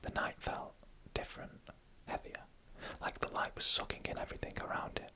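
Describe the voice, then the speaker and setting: A narrator reading a scripted story in a breathy ASMR whisper, in slow, deliberate phrases with short pauses. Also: sharp tone.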